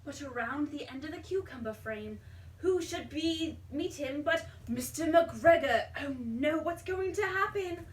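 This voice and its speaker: A woman reading a children's storybook aloud in an expressive, dramatic way, pausing to put questions to a young child. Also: high voice. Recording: roomy, distant mic, faint background noise.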